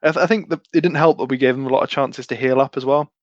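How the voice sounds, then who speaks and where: Male podcast player, mid-pitched, halting casual chat, dry and slightly muffled recording. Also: fairly even intonation, no echo, soft background music. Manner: halting, repeats words, sweary. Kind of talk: casual post-game chat.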